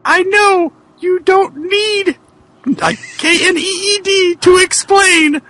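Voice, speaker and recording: Man putting on a shrill, lovestruck girlfriend voice. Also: pushed and bright, exaggerated voice. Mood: pleading, gushing. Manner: drawn-out, cooing, wailing falsetto delivery. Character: sweet, lovestruck young woman pleading with her boyfriend. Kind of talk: comic dramatic reading of fan fiction.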